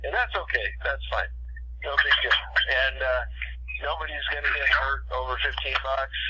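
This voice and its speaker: A man hosting a business conference call, talking informally in a rambling way and repeating himself as he makes his pitch. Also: normal speed, pushed and bright, husky. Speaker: host and business owner leading a conference call. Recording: dull, lo-fi phone conference line.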